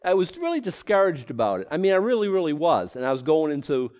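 Animated Boston-accented man preaching. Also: a little high, quick pace. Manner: rambling, self-deprecating, with frequent restarts. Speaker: pastor. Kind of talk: conversational Bible teaching sermon.

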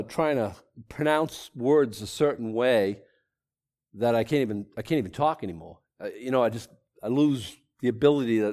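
Animated Boston-accented man preaching. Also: mid-range voice. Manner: rambling, self-deprecating, with frequent restarts. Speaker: pastor. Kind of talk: conversational Bible teaching sermon.

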